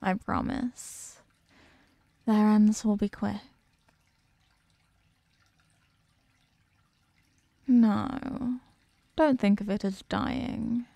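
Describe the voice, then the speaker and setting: A woman voicing an imprisoned ancient eldritch being in a horror ASMR roleplay, imperious, possessive and condescending, with slow and deliberate delivery. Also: a little high, dark tone, no room sound. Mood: coaxing, taunting. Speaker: audio roleplay performer.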